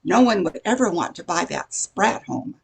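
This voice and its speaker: An older woman playing a middle-aged busybody neighbor in an amateur radio play, chatty, insinuating and judgmental, with a husky, creaky voice. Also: lowish voice, lively intonation, moderate pace, exaggerated voice. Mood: gossipy, insinuating.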